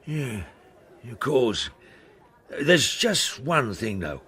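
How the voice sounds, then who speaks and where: An actor playing a seedy, eager-to-please sea captain in a radio drama, talking colloquially and evasively. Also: male voice, medium pitch, sing-song, extremely slow, bright, forceful tone, slightly rough, exaggerated voice. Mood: probing. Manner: colloquial, evasive, hesitant when pressed.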